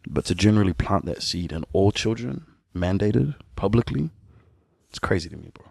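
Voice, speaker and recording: Deep gravelly nz-guy in his 30s, laid back, talks easy and laughs a lot, dry close mic. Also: moderate pace, dry recording. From born in Sudan, raised in New Zealand. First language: an Ethiopian language. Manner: relaxed, candid, laughing often. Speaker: musician guest. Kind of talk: casual podcast conversation.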